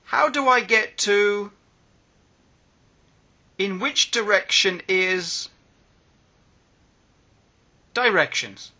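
A man slowly reading short phrasebook sentences one by one, with pauses between phrases, as a language lesson. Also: sits high, lively intonation, pushed and bright, muffled recording. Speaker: language teacher.

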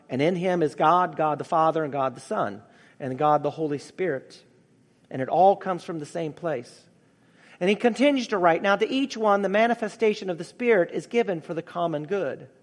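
A man preaching a sermon as a pastor, in a measured, explanatory way, with a slightly raspy voice. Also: sits high, animated. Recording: reverberant hall.

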